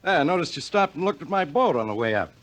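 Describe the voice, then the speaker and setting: A man's voice: on the high side, sing-song, fast, slight background noise. An American man playing a friendly but pushy diver in a 1950s radio drama, talking in an ingratiating, persistent way. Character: friendly, pushy male diver angling to join in. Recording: vintage 1950 radio broadcast.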